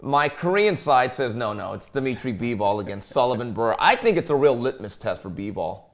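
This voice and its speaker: A man's voice: medium pitch, very animated, a little fast. A man hosting a sports panel, talking casually and conversationally like someone telling stories among colleagues. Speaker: host of a boxing talk show.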